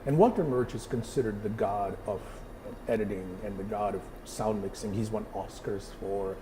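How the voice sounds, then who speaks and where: A man's voice: lowish voice, normal speed, noisy background. A middle-aged man from Pakistan, a photographer answering interview questions thoughtfully, with many fillers and restarts.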